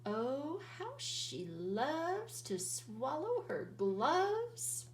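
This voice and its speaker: A woman teacher reading a children's picture book aloud in an expressive, sing-song storytelling voice, drawing out words. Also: sits high, extremely slow, reverberant, far from the mic, slight background noise.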